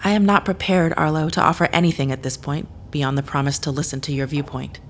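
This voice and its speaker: Actress as low-voiced, stiffly formal woman. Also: fast, slight background noise, natural voice. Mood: guarded. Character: Theresa, wounded, reserved, formally spoken woman. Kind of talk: audio drama acting.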